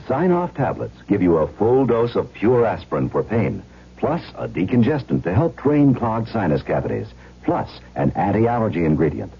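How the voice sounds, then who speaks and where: A man's voice: on the low side, sing-song, normal speed, gravelly, slightly rough. A man announcing a scripted 1970s radio advertisement. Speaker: commercial announcer. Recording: dull lo-fi 1970s radio recording.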